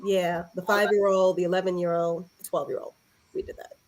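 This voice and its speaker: A woman's voice: on the low side, flat delivery, a little fast, a little mellow, low-quality recording. A woman from Harlem in New York City, talking casually and reflectively as a podcast guest.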